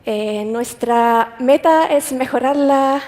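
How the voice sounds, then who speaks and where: Woman giving a conference talk, higher voice, careful, lots of restarts, echoey big hall. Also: slow pace, a little mellow. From Finland. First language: Finnish. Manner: careful, with frequent restarts and hesitations. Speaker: conference speaker.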